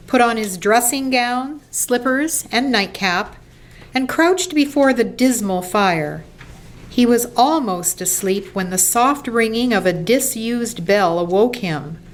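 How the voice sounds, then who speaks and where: A woman narrating a radio play from a script in a measured storytelling manner. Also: fairly high pitch. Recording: faint background noise.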